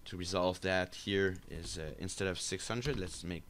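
A man giving an unscripted software screencast tutorial, explaining step by step in a halting, hesitant way. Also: low voice, slight background noise. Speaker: tutorial instructor. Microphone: voice-over for a screen recording.

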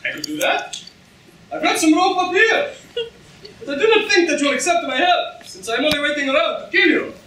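A man's voice: extremely high pitch, very animated, moderate pace, pushed and bright, full character voice. A young man acting a courteous, earnest Spanish swordsman in a theatrical staged reading. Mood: polite. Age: young adult. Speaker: student actor in a staged reading. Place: lecture room stage before an audience. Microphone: far camera in the audience. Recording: distant, echoey room with noise.

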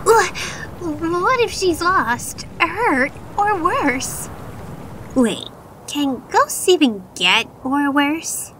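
A woman's voice: very high voice, very animated, very slow, fairly bright tone, a little hiss or hum, exaggerated voice, low-quality recording. A woman voicing a vain, theatrical young female unicorn magician in a cartoon dub, haughty and melodramatic. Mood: worried, wistful. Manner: haughty, melodramatic, speaks of self in third person. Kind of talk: cartoon comic dub acting.

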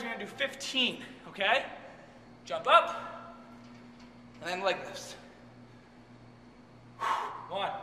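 A man's voice: extremely high pitch, bright, forceful tone. A man leading a workout as a fitness trainer, calling out reps while doing the exercises himself, straining and out of breath. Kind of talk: workout instruction. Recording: echoey room with long reverb.

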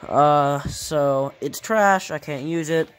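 A man talking casually off the cuff like a hobbyist repairer showing his work, halting and correcting himself as he thinks aloud. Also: a little high, smooth. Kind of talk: casual off-the-cuff workshop vlog. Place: indoor workshop at a cluttered bench.

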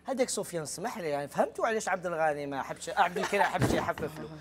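A man's voice: high-pitched, lively intonation, rapid-fire, very noisy. A middle-aged man with an Algerian accent, hosting a TV game show on a big studio stage and teasing the contestants.

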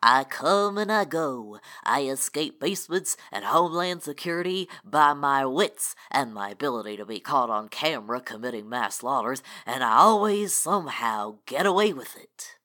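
A woman playing a smug, deadpan, self-assured crime boss. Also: a bass voice, moderate pace, few pauses, heavily acted. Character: smug, mythical crime boss, low and unhurried.

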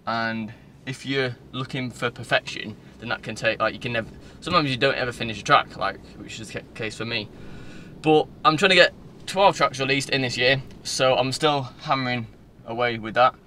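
A young Northern English man talks casually to his phone in a car. His voice is bright and sits at a middling pitch. He rambles informally from one thought to the next, with faint background noise in the recording. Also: normal speed. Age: 20s. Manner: informal, loose, digressive. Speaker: vlogger. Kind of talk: casual rambling vlog chat. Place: inside a parked car. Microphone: phone at arm's length.

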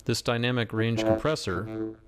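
A man giving a methodical, step-by-step software demonstration as a tutorial voice-over. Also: lowish voice, flat delivery, normal speed, clean tone. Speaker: tutorial instructor. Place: voice-over screen recording.

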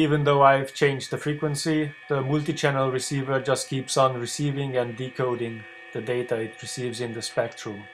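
A man talking through an unscripted software screencast tutorial in a hesitant, halting way, as a video blogger would. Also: medium pitch, poor audio. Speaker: ham radio video blogger demonstrating software. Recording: clear background noise with hum.

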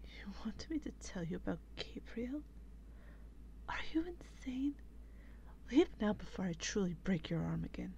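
A woman playing an exasperated, protective female vampire in a breathy, whispery ASMR role-play, with slow and dramatic reproach. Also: medium pitch, big pitch swings, warm tone, lo-fi sound, slight background noise. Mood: irritated, weary.

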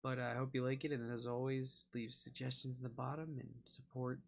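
A tired young man chatting casually to viewers as a reaction host, low-key and self-deprecating. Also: little pitch movement, a little fast, vocal fry. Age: young adult. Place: small cluttered room indoors.

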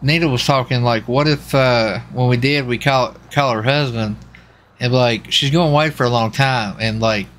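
A man with a bright, punchy voice rambles casually to his stream, circling back over his own words. There is faint noise behind him. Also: medium pitch, steady tone, normal speed. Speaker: livestreamer and role-play gamer. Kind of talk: casual chat while streaming a game.

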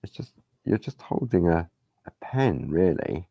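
Gravelly, slow-talking man with a croaky voice. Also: low voice, dry recording, muffled recording. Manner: relaxed, chatty, rambling. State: hoarse. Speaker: craft demonstrator. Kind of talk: live craft-along demonstration. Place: craft studio table. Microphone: overhead camera on hands, speaker unseen.

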